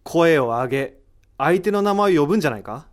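A man playing a thoughtful, analytical character in a voice drama, deliberate and explanatory, posing rhetorical questions. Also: on the high side, animated, natural voice. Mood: explaining, intent. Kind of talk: amateur voice drama acting.

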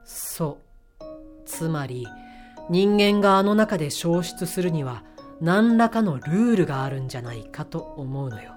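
A woman's voice: low-pitched, expressive, unhurried, a little mellow, faint music behind. Woman playing a flamboyant, self-assured man, swooping intonation. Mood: confident, conspiratorial. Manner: theatrical feminine phrasing, assertive. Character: self-assured, flamboyant man who talks in feminine speech. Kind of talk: amateur voice drama acting.

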